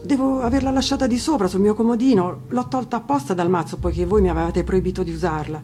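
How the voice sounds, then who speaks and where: A terrified young storybook wife, played by a woman reader, begs in a raised, anxious voice. She trembles and pleads for mercy. Music sits clearly behind her. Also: medium pitch, fast, warm tone, pitched above the performer's own voice, close to an everyday voice. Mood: frightened. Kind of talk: dramatic fairy-tale reading. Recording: music under the voice.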